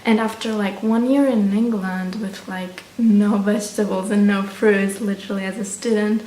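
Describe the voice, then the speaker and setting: A woman's voice: mid-range voice, fairly even intonation, normal speed, warm tone. Echoey young Romanian woman vlogger. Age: young adult. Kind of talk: casual chat to camera. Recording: roomy echo, distant mic, faint noise.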